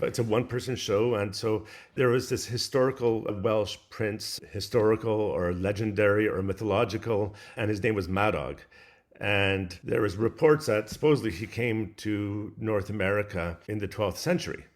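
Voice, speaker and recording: Male composer as interview guest, lower-pitched voice, explanatory and conversational. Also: moderate pace.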